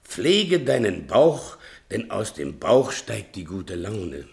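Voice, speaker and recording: A servile, talkative servant fond of deep musings in an old German radio play. His creaky low voice rises and falls theatrically, ingratiating and ponderous. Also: very animated, slow, exaggerated voice. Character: servile male servant, chatty philosophising tippler, self-pitying.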